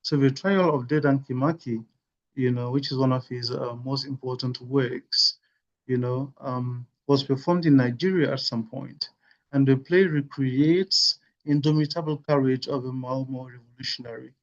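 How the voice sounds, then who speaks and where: A man giving an academic lecture at a webinar, measured and dotted with "you know" and "uh". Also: medium pitch, fairly even intonation, dull audio. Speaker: webinar speaker. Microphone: video call.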